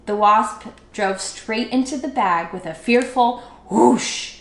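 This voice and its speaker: A woman reading a children's book aloud expressively, with dramatic, drawn-out emphasis. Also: a little high. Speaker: storybook read-aloud teacher. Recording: echoey room.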